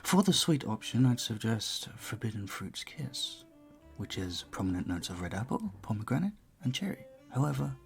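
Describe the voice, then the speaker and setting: A charming male vampire bartender, acted by a man, with a fairly low voice that has a gravelly edge. He is suave and playfully flirtatious, talking closely to a single customer in an audio roleplay over faint music. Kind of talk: intimate scripted roleplay, speaking to the listener.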